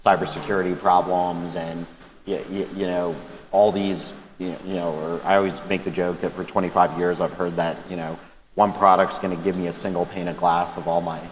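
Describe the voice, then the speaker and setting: A man with a loud, very low voice speaks on a conference panel. He talks conversationally, stumbling and repeating words as he goes. The sound is roomy, with a long hall reverb and faint ambience behind him. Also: flat delivery, brisk, slight background noise. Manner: conversational, frequent restarts and stammered repeats. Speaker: government panelist. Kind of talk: panel discussion.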